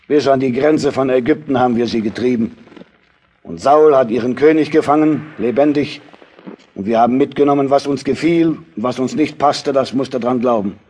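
An actor playing a cocky, bragging veteran soldier in a biblical radio play, blustering and dismissive. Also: male voice, medium pitch, normal speed, darkish tone, husky, close to an everyday voice. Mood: boastful, dismissive.

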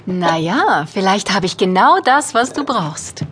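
A woman's voice: mid-range voice, animated, measured pace, fairly bright tone, slight background noise. A woman playing a kind, encouraging mother in a children's audio drama, speaking in a reassuring manner. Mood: gentle, encouraging.

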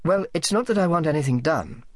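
A man reads the part of a young lady in an audiobook. She is polite, composed and a little hesitant, with a careful, soft delivery at a slightly raised pitch, recorded dry. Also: lively intonation, fairly quick, dry recording, close to an everyday voice. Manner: soft-spoken, careful, courteous. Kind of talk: audiobook character acting.

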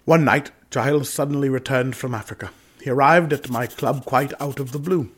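A man acting a doomed, anguished gentleman in a radio drama, with husky voice and expressive old-fashioned upper-class phrasing. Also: medium pitch, moderate pace. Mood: grave, reminiscing. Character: doomed gentleman haunted by a family suicide curse, anguished.

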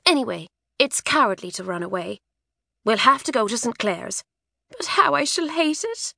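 A woman voicing a gentle, anxious teenage schoolgirl who speaks earnestly and close to tears. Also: a little high, sing-song, moderate pace, gravelly, no room sound, pitched above the performer's own voice, exaggerated voice. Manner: earnest, tearful.

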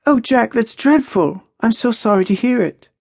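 A narrator voicing a lilting, high-pitched teasing young woman. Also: normal speed, creaky, no room sound. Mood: shocked, sympathetic. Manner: lyrical, expressive. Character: lively, teasing young woman, lyrical and earnest.

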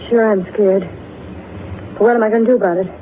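A woman's voice: medium pitch, steady tone, quick pace, natural voice. Actress as streetwise young woman on tinny radio. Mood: needy, pressing. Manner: blunt, slangy, terse. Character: forward, streetwise, wounded young woman of the night. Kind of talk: 1930s radio acting. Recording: tinny, faintly noisy 1930s radio recording.

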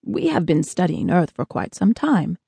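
A woman voicing a kindly, proud man from space in an audiobook, with slow, formal, polite speech. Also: fairly low pitch, big pitch swings, gravelly, dark tone, breathy edge, no echo, heavily acted.

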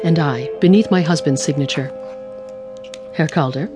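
A woman's voice: low voice, moderate pace, music in the background, close to an everyday voice. A woman playing a polite, firmly convinced wife and mother in a radio drama, formal and composed. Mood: polite, assured.

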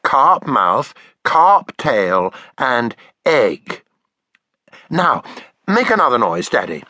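A man putting on an excited little cave girl. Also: medium pitch, very slow, no room sound, full character voice. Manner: chirpy, breathless with excitement. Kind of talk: storybook reading.

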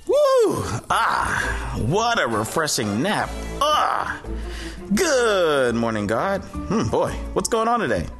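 An actor playing a laid-back, excitable first man in a comic audiobook Bible drama, with a hip, casual, exclamatory way of talking. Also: high-pitched, slow pace, heavily acted. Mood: sleepy, upbeat. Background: music.

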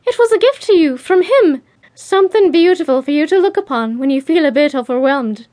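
A woman's voice: very high voice, animated, brisk, darkish tone, voice pushed up. A woman voicing an enthusiastic, kindly woman welcoming guests in an audiobook, with an effusive manner. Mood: enthusiastic, gentle.

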